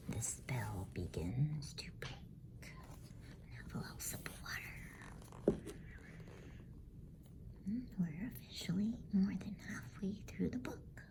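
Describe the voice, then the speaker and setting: Female bedtime narrator for ASMR, whispered, low and mellow, faint noise behind. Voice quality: whispered, soft. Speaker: bedtime story reader.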